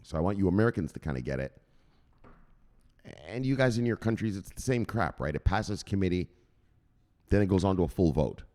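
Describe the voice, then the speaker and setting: A man's voice: sits low, animated, brisk, long pauses, a little mellow. A man hosting a crypto news channel, ranting through the headlines in a profane and emphatic way.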